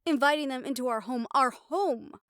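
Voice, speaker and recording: Higher-voiced, exasperated mafia girlfriend played by a woman. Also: normal speed, a bit bright, dry recording, clean studio sound. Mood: angry, sarcastic. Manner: sweary, sarcastic, blunt. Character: mafia boss's blunt, exasperated girlfriend and loyal lieutenant. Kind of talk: audio roleplay acting to the listener.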